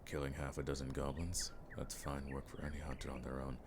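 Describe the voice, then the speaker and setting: An extremely low-voiced, weathered monster hunter played by a man from a fantasy audio drama. He speaks in dry, formal, world-weary lines with little melodic movement. The gravelly male voice sits over clearly audible background noise. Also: completely flat delivery. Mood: weary, frank. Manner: dry, unhurried, wryly formal speech.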